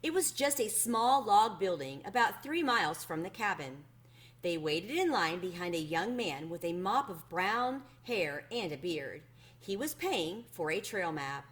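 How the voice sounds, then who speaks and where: A woman reading a children's story aloud as a host, in an expressive, plainly addressed storytelling manner. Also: fairly low pitch, unhurried.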